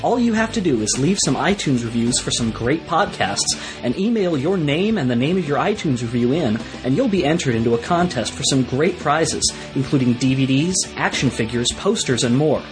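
Lively man announcing over guitar music. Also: medium pitch, normal speed, hardly any pauses. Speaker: promo announcer. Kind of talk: scripted podcast advertisement.

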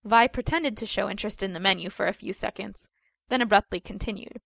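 A woman with a mid-pitched voice narrates a piece of fiction. She reads from a script as a storyteller, in a close sound with no room on it. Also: a little fast, creaky, a bit bright, dry recording. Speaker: fan fiction reader.

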